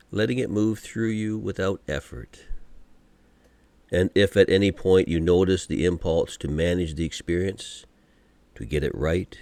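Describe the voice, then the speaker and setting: A man guiding a meditation from a script in a gentle, soothing, unhurried manner, pausing often between short phrases. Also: low voice, creaky, dry recording. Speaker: meditation guide. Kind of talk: guided meditation, scripted narration.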